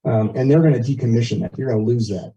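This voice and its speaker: A man's voice: medium pitch, fast, warm tone. A man giving an informal explanatory presentation at a community meeting, talking conversationally and using everyday examples. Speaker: resident presenting an internet proposal. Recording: muffled, dull meeting audio.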